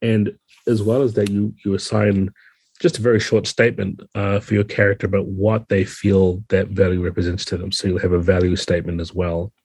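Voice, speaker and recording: A man's voice: low-pitched, level tone, brisk, a little mellow, slightly rough, no echo. A man giving a thoughtful, conversational explanation as an interview guest. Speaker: podcast guest, game designer.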